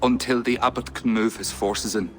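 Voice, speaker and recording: A man's voice: mid-range voice, steady tone, moderate pace, faint music behind, natural voice. An actor playing a captive male king who talks anxiously and defensively. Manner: anxious and pleading.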